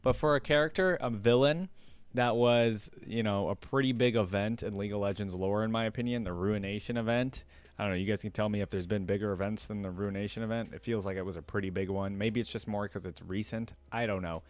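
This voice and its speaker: A man's voice: fairly low pitch, fast, no echo. A man hosting a reaction video, commenting casually and slangily as he muses aloud into a close microphone. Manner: informal, slangy, musing aloud.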